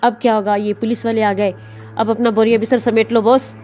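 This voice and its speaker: A woman's voice: fairly high pitch, level tone, a little fast, mellow, lightly acted. Woman playing a fed-up, complaining female ghost. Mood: alarmed. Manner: complaining, anxious. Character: fed-up female fake ghost working for crooks. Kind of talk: dramatized children's horror story. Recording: music behind the voice. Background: music.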